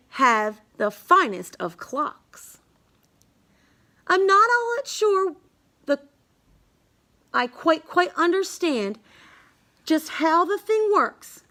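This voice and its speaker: A woman reads a children's book in verse with a high, swooping voice. She takes it slowly and leans on the rhymes in a sing-song way, as a storyteller would for young listeners. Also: bright, forceful tone. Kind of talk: read-aloud of a rhyming children's book.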